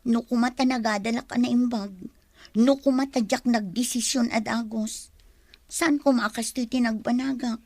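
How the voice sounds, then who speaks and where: A woman's voice: on the high side, steady tone, normal speed, darkish tone, no room sound. A woman acting a long-suffering, emotional young mother and wife in a radio drama. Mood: regretful, sad.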